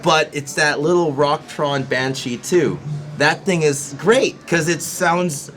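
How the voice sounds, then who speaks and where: A man's voice: on the high side, slow, a bit bright. A man hosting a casual, joking chat among guitar players, talking loosely with plenty of filler words. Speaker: host. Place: gear trade show.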